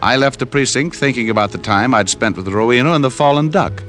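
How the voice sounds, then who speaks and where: A man's voice: mid-range voice, fairly quick, fairly bright tone, natural voice. An American man playing a wry, streetwise private detective in old-time radio style, narrating in clipped slang. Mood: matter-of-fact narrating. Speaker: lead actor and narrator of a detective series. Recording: vintage radio recording with music.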